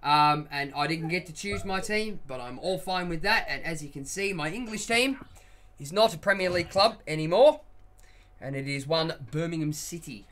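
A young man with a headset, streaming a football video game and chatting loosely with friends, swearing and shouting at the play. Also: on the high side, moderate pace. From Australia. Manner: excitable, swears, loud outbursts at the game. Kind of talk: live stream commentary and chat.

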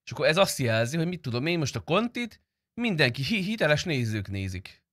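A man's voice: on the high side, very animated, fairly bright tone, no room sound, clean studio sound. A young man chatting casually on a game livestream in informal, slangy, sometimes profane speech. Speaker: game streamer.

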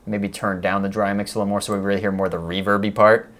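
A man's voice: fairly low pitch, little pitch movement, fast, a bit bright. A young man hosting a video editing tutorial in a casual, jokey chat style, on a lapel mic in a small room. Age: young adult. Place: small home studio room, indoors.

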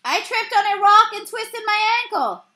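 A woman voicing gruff, shouting male baseball players from a storybook, urgent and growly. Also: very high-pitched, expressive, normal speed, voice pushed up, heavily acted. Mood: indignant.

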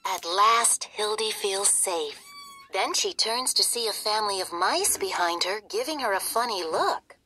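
A woman reading a children's story aloud in an expressive, theatrical manner. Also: medium pitch, a little slow, husky. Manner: animated, theatrical. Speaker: storybook reader.

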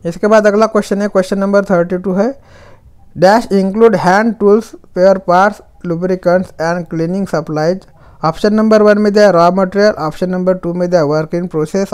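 A man's voice: high-pitched, a little mellow, clear, resonant tone. A young man with an Indian accent, teaching exam prep by reading quiz questions and their options aloud in a voice-over. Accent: Indian English mixed with Hindi. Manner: slow deliberate reading of questions, faster when giving options.

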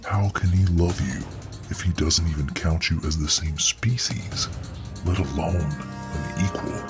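A man playing a huge, dominant, contemptuous supervillain in an ASMR audio role-play, with a very deep voice and deliberate, taunting delivery. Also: over music. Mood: boastful, scornful. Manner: deliberate, taunting, theatrical monologuing. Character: huge muscle-bound male supervillain, dominant, contemptuous, righteous.

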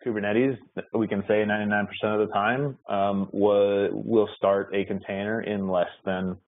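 Guy presenting tech benchmarks on a thin phone-like line, lots of ums and restarts, kinda low voice. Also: level tone. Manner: halting, many fillers and restarts. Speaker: software engineer presenting benchmark results. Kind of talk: online meetup presentation. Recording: tinny phone-like web call audio.

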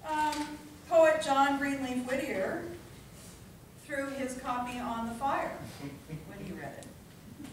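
A middle-aged woman speaking and reading expressively at a public event, with deliberate phrasing, picked up from a distance in a meeting room. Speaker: librarian and professor reading at an event. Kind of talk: informal public talk and poetry reading. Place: library meeting room with seated audience. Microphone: distant camera. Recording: roomy, distant microphone, clear rumbling room noise.

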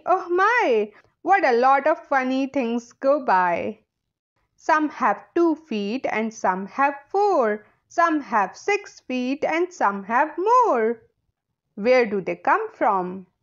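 A woman narrating a children's storybook aloud in a deliberate, sing-song way. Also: high voice. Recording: slightly dull, lo-fi audio.

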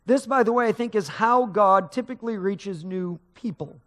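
A man's voice: high-pitched, normal speed, fairly bright tone. A middle-aged man, a pastor, preaching a sermon on stage in a large church sanctuary, in a conversational manner that rises with emphasis. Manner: conversational preaching, rising with emphasis, gesturing while pacing the stage.

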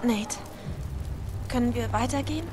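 A woman's voice: fairly high pitch, a little slow, over music, natural voice. A woman playing a plucky, practical young adventurer in a dubbed action game, urgent and projecting. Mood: cautious concern. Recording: noisy ambience behind.

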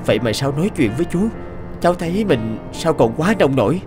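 An actor voicing a young, modest man who talks in an earnest, soft-spoken way in a cartoon. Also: a little high, animated, fairly quick, natural voice. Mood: respectful. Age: young adult. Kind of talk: cartoon voice acting. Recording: music under the voice. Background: background music.

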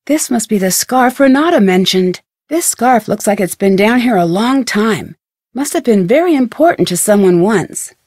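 A woman playing a curious, determined young detective in a video game, thinking aloud in crisp speech with an American accent. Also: mid-range voice, lively intonation, moderate pace, a little mellow, no room sound, clean studio sound, lightly acted. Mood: curious, focused.

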